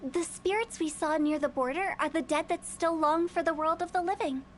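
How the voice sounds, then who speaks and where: A woman's voice: extremely high pitch, normal speed, slight background noise. A woman voicing a playful, eccentric young funeral parlor director in a video game, with a mischievous, theatrical and breezily morbid manner. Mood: reflective.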